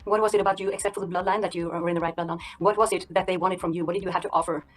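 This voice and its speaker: A woman's voice: fairly low pitch, fairly even intonation, very fast. A woman interviewing a guest, eager and affirming as she asks questions.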